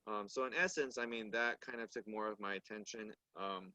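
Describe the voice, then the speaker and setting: A man's voice: lowish voice, steady tone, sharp tone, no echo, rough recording. A man whose first language is Korean, speaking thoughtfully in a casual discussion and often restarting his phrases. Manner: measured, thoughtful, frequent restarts.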